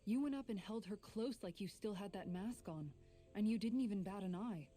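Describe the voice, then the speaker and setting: Woman as caring female fighter, soft-edged warm timbre, sincere, frank, steady, game drama, faint music. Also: mid-range voice, normal speed, natural voice. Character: caring, steady, big-sisterly female warrior. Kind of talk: anime video game voice acting.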